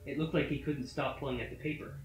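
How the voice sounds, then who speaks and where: A man's voice: mid-range voice, fairly even intonation, fast talker, highs cut off. Echoey man narrating eerie fiction. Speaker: story reader. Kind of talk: scripted horror story narration. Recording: roomy echo. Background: faint music.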